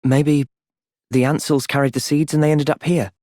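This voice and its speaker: A man with a British accent playing a thoughtful, gentle young soldier in video game cutscenes, measured and earnest. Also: on the high side, level tone, no echo, clean studio sound, natural voice. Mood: calm, earnest.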